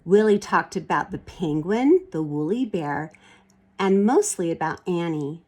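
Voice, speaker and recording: A woman's voice: on the low side, lively intonation, unhurried, darkish tone. A woman reading a children's storybook aloud expressively and explaining things in a teacherly way. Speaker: storybook reader. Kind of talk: expressive children's read-aloud with picture commentary.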